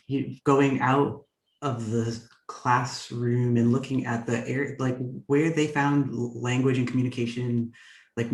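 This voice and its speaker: A man's voice: medium pitch, recorded from a distance. A man who teaches writing, presenting at a workshop in a thoughtful way, with lots of fillers and restarts, over a video call. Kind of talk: workshop discussion. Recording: roomy and away from the mic.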